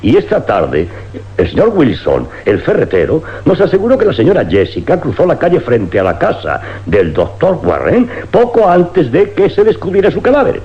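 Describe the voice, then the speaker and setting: Animated, brisk police inspector. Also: male voice, a little high. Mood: resolute. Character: brisk, determined male big-city police inspector. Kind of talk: dramatic radio play acting. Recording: dull lo-fi old radio recording.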